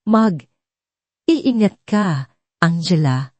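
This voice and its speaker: A grateful billionaire father in an audio storybook drama, read by a woman, speaking with heartfelt sincerity. The tone is mellow and the recording dry. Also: lowish voice, animated, very slow, no echo, full character voice. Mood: surprised, sincere. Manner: earnest, heartfelt.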